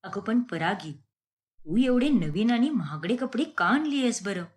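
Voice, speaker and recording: A chatty, naive middle-class mother voiced by a woman narrator in a cartoon family tale. She is homely and unembarrassed, asking questions with a lively, varied melody. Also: on the high side, a little slow. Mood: puzzled.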